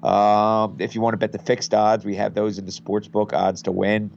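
A man from a betting site's marketing side, guesting on a podcast, hoarse from a head cold and talking in a relaxed, rambling way. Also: fairly low pitch, normal speed, muffled recording, a little hiss or hum. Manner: relaxed, rambling, many fillers. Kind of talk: casual podcast interview.

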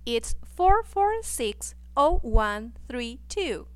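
Woman, 20s, from Peru, Spanish native, careful short answers, slightly high, clear tone. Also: big pitch swings, drawn-out words. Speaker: interviewee in a practice dialogue.

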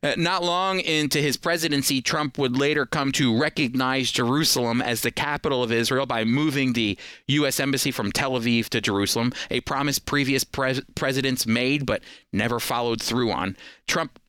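American male radio host, bright and crisp. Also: mid-range voice, moderate pace, dry recording. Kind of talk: prepared commentary on a talk radio program.

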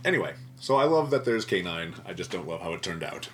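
A man with a bright, forceful voice talks casually as a podcast guest. His pitch moves around a lot as he delivers wry, mock-dramatic remarks. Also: sing-song, fast talker, slight background noise.

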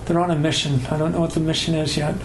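A man with a raspy voice, a singer-songwriter, talking casually and rambling with a self-deprecating manner. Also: fairly high pitch, flat delivery, fast, noisy background. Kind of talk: casual chat between songs. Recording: roomy echo with guitar behind.

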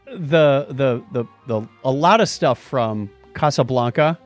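A man's voice: medium pitch, lively intonation, slow, soft background music. A man hosting a podcast in a casual, conversational way, telling stories with animation. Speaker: podcast host.

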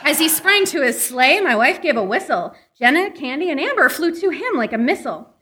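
A woman's voice: high voice, big pitch swings, brisk, crowd noise. A woman performing a comic parody poem live with theatrical storybook delivery. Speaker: live variety show performer. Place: live event at a comic book shop.

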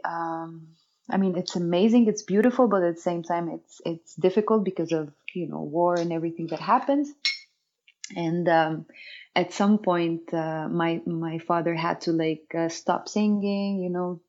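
A young woman from Lebanon speaks in a somewhat low voice, rambling through her story with lots of fillers and restarts. The audio is dull and lo-fi. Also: darkish tone. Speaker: interview guest, dancer. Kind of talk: casual interview storytelling.

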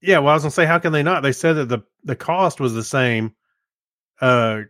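A man's voice: fairly high pitch, fairly quick, fairly bright tone, no room sound, clean studio sound. A man co-hosting a podcast, chatting and commenting casually. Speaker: podcast co-host.

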